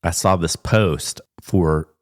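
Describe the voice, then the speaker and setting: A man's voice: low-pitched, takes it slowly. A man hosting a podcast in a casual interview, rambling and restarting his sentences as he talks. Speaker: podcast host.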